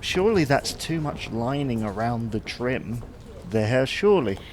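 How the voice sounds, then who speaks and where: A man's voice: mid-range voice, big pitch swings, measured pace, background noise. A man playing a reluctant, stubborn husband, grumbling in dry, mild protest in a domestic comedy audio drama. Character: reluctant, stubborn, henpecked husband with poor taste.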